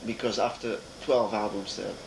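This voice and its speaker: A man interviewing a musician casually, halting with restarts and trailing sentences. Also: lowish voice, steady tone, gravelly. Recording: echoey room, noisy old tape.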